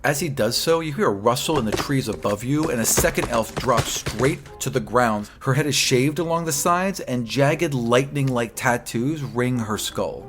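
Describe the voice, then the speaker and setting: A man's voice: medium pitch, moderate pace, few pauses, fairly bright tone, faint music behind. A man working as game master, narrating a tabletop fantasy adventure to his players.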